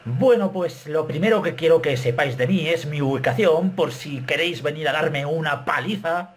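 A Spanish man in his thirties with a Castilian accent, talking to his webcam in a small bedroom, animated and self-mocking. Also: a little high, moderate pace. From Spain. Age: 30s. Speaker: YouTuber. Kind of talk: casual vlog to camera.

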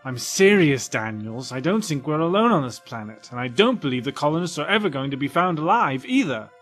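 Earnest, emphatic male crewman actor, worried and dogged, lively pitch, audio drama. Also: a little fast, faint music behind. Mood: insistent. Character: insistent, loyal, worried male spaceship crewman.

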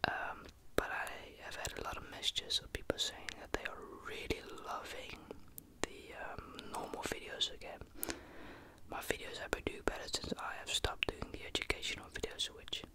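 A teenage man, whispering a rambling, casual chat into a close studio mic in a small bedroom. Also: normal speed. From Netherlands. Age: teens. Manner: casual, rambling, with many fillers. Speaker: ASMR YouTuber. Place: small bedroom with a sloped ceiling. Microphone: large studio mic close on the desk.

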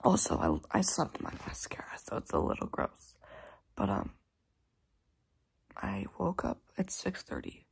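A teenage girl filming a casual vlog on her phone, talking to viewers in a hushed morning voice and later out in the snow. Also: on the low side, measured pace, vocal fry, long pauses, husky, dry recording. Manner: whispery early-morning talk, bubbly and excited outdoors. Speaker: vlogger. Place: at home, then outdoors in snow. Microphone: handheld phone.

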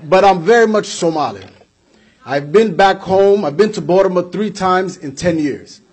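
A man's voice: sits high, expressive, moderate pace, a little mellow, slightly rough. A young American man giving an emphatic political speech at a podium microphone in an indoor hall, hammering his points home through repetition. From United States. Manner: emphatic, repeats points for effect, gestures while speaking. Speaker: speaker at a community event. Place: indoor hall with flags behind. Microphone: podium microphone on a stand.

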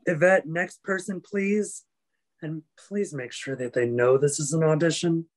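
A man's voice: fairly high pitch, measured pace, natural voice. A man playing an impatient, short-tempered theater director, curt and sighing, on a webcam.

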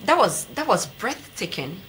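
A young woman with a Ghanaian accent and a bright, forward voice hosts a TV sports talk show. She interviews in a conversational way, with clear noise behind her. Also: medium pitch, very animated, unhurried. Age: young adult. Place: TV studio.

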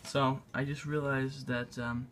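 A man narrating a casual hands-on tutorial in a halting, unscripted way, thinking aloud as he works. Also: mid-range voice, little pitch movement, background noise. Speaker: video maker demonstrating a repair. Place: indoors at a tabletop.

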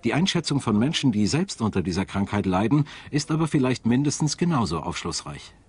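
A man's voice: medium pitch, sing-song, fairly quick, a little mellow, slightly rough. A man presenting and narrating a documentary in scripted voice-over.

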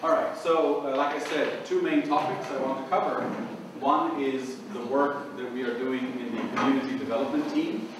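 A man in his forties with a fairly loud voice, giving a relaxed, conversational conference talk to a small group and filling pauses with ums and uhs. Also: medium pitch. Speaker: conference presenter. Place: small conference room with long tables. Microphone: far camera, speaking without a microphone. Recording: echoey small room from far off, noisy.

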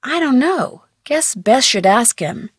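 A plucky young detective. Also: female voice, a little high, sing-song, breathy edge, no room sound, studio quality, exaggerated voice. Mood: inquisitive. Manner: brisk, curious, wry sleuthing tone. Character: young female amateur detective, curious and plucky. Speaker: game character, teen detective. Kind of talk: video game voice acting.

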